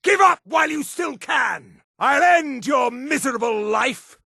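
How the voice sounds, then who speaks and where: Haughty high elf, very animated. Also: male voice, measured pace, no room sound, clean studio sound, heavily acted. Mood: battle fury. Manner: haughty, clipped, theatrical exclamations. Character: haughty male high elf townsman of a fantasy world. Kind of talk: video game character barks.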